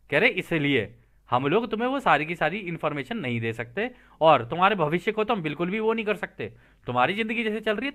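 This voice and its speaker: High-pitched, animated man explaining. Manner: explanatory, addresses listeners directly with frequent check-in tags. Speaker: host and explainer. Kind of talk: reading a translated text with casual spoken commentary.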